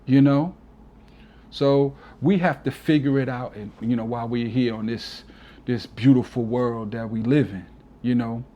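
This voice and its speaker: Man, forties, DJ guest, heartfelt casual talk, mid pitch, relaxed dark timbre. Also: measured pace, dull audio. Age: 40s. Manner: earnest, heartfelt, leans on "you know". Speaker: DJ, interview guest. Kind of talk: casual reflective interview talk.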